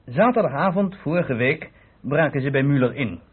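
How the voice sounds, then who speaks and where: Police inspector: brisk, authoritative, husky, lively intonation, old radio play. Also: male voice, fairly high pitch. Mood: genial, explanatory. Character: brisk, self-assured male police inspector explaining a solved case. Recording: tinny, narrow-band old radio recording.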